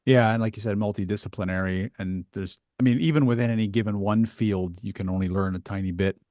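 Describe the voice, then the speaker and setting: A middle-aged man hosting a conversational podcast interview, thinking aloud in a halting way with restarts. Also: on the low side, a little mellow, no echo, studio quality. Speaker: podcast host.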